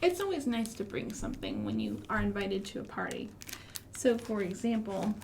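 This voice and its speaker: An American woman hosting an interactive storytime for young children, asking questions and speaking in an expressive, encouraging way. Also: mid-range voice, moderate pace, vocal fry, slight background noise. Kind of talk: interactive children's storytime with songs and finger rhymes. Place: live online stream for a library.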